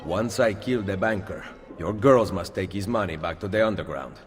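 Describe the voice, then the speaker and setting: An actor as grave, low-voiced Italian assassin leader. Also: male voice, normal speed, in a large hall, soft background music, natural voice. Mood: stern, businesslike. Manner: grave, terse, decisive. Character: resolute, commanding male assassin leader. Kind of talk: video game cutscene acting.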